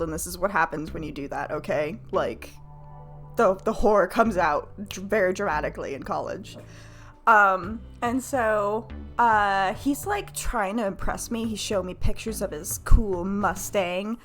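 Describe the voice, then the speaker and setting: A woman from Florida with an American accent, telling a candid personal story to her live stream chat in a slangy, profane, self-mocking way. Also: mid-range voice. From United States, Florida. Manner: animated, self-mocking, acts out quoted dialogue; profane, slangy, heavy use of "like".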